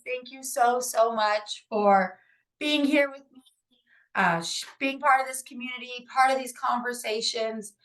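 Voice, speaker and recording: A woman in her forties with a raspy, hoarse voice, hosting a casual podcast in an upbeat, encouraging way with frequent fillers. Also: fairly high pitch, fairly bright tone, rough recording. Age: 40s. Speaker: podcast host and author.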